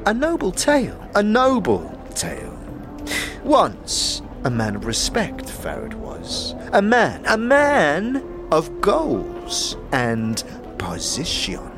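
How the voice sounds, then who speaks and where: A gravelly, ragged old male beggar spins cryptic tales for a fantasy audio drama. He drags his words out very slowly and repeats himself, his pitch lurching wildly from hiss to cry. Music plays clearly underneath. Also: wildly swooping pitch, full character voice. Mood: theatrical, mocking. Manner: halting, repeats phrases, erratic swings. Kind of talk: theatrical audio drama storytelling.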